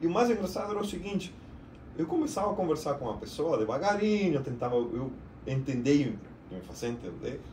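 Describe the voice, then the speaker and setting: A middle-aged man from Argentina with an Argentine Spanish accent, a native Spanish speaker, talking about his work in a casual, slightly halting way as a podcast guest. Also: a little high, big pitch swings, a little mellow, a little hiss or hum. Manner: chatty, slightly halting storyteller. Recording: roomy echo, mic at a distance.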